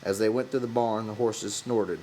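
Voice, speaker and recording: A man's voice: lowish voice, steady tone, normal speed, warm tone, a little hiss or hum, poor audio. A man narrating a novel from a script as an audiobook reader.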